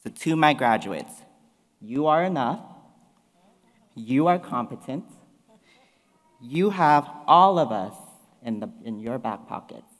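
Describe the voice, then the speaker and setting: A man's voice: medium pitch, lively intonation, slow, long pauses. Young guy speaking at graduation, podium mic, big hall echo, earnest. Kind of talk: commencement speech. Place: large performing arts hall, at a podium. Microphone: podium mics. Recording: long hall reverb.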